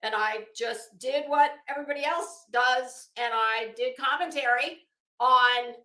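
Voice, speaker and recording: A woman's voice: high-pitched, a little slow, sharp tone, roomy sound. A woman giving casual, opinionated commentary in a rambling, emphatic and blunt way, swearing freely. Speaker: online commentary host.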